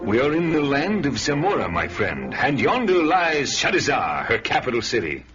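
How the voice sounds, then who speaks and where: Wildly expressive, wary thief companion. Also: male voice, medium pitch, moderate pace. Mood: knowing. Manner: dramatic, ominous, archaic phrasing. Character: worldly, wary thief companion to a barbarian hero. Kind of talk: fantasy radio drama acting. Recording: dull radio recording with loud music.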